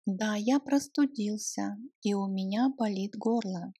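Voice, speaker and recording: A woman's voice: medium pitch, unhurried, mellow, slightly rough, dry recording, clean studio sound. A woman language teacher drilling short phrases, saying each one, breaking it into chunks, then repeating it. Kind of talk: slow phrase drill with translations.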